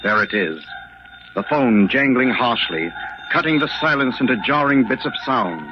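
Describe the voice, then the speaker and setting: A man playing a solemn, ominous storyteller, narrating a 1940s radio mystery in a deliberate, dramatic way. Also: mid-range voice, expressive, background noise, natural voice. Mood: solemn suspense. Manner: deliberate, dramatic, hushed suspense. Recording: muffled old radio recording.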